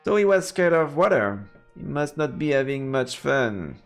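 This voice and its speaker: Creaky-voiced male streamer, very animated pitch. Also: moderate pace, soft background music. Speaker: let's play streamer. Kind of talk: live game commentary, reading narration aloud.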